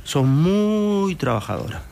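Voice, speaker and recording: A man's voice: fairly high pitch, very animated, takes it slowly, gravelly, a little mellow. A man with a Uruguayan accent hosting a casual interview, wordy and informal as he thinks aloud and rephrases. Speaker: interview host.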